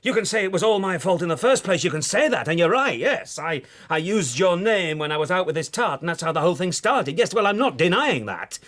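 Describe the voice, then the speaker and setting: A man's voice: high-pitched, very animated, fairly quick, hardly any pauses, fairly bright tone. A man acting in a radio drama as a desperate, self-serving lawyer, pleading and insistent with a sarcastic edge. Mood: angry, pleading.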